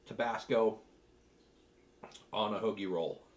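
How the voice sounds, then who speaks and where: A middle-aged man giving casual tasting commentary as a cooking video host, speaking in a halting, thoughtful way between bites. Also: lowish voice. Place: home kitchen, seated at a table.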